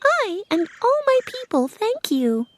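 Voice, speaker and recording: A woman voicing a tiny, regal female mouse queen in a storybook reading, with a squeaky, excitable, lilting delivery. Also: unhurried, a little hiss or hum, exaggerated voice. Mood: gracious.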